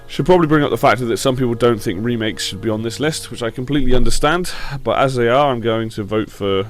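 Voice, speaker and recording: A man hosting a gaming show with casual, blunt opinion commentary, slightly croaky from a sore throat. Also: mid-range voice, fast talker, soft background music.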